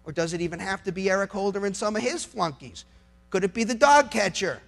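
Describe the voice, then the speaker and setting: High-pitched, creaky American man making an impassioned speech. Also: lively intonation, brisk, fairly bright tone. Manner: emphatic, rhetorical questions, repeats phrases for effect. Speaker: lawyer giving a speech. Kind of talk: impassioned public speech to a live audience.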